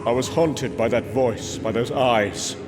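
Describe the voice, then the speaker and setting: A man voicing a brooding god who narrates in the first person, solemn and measured, in a scripted comic book drama. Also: medium pitch, background noise, lightly acted. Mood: haunted, grave. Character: brooding male god recalling a haunting horror. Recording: dramatic music behind the voice.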